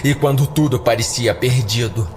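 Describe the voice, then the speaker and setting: A man's voice: medium pitch, normal speed, a little hiss or hum. A man narrating a scripted first-person horror story for a podcast. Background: faint music.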